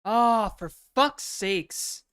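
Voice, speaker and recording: A hoarse woman streamer thinking aloud casually as she codes live, swearing and full of fillers. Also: mid-range voice, lively intonation, drawn-out words, a bit bright, dry recording, clean studio sound. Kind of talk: live coding stream commentary. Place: home apartment.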